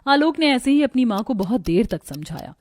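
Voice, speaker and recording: A woman's voice: fairly high pitch, very animated, brisk, darkish tone, faint music behind. A woman narrating a scripted cartoon moral story.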